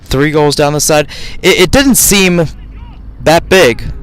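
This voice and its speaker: A man's voice: a little high, slow pace, clean tone. Male soccer radio play-by-play announcer, rambling delivery. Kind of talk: live soccer commentary. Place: outdoors at youth soccer fields.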